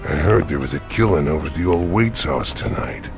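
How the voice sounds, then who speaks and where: An actor playing a sinister, gloomy small-town innkeeper in a video game, with gravelly, drawn-out, folksy and ominous speech. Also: male voice, very deep voice, animated, over music, full character voice. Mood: grim gossiping. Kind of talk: video game character acting.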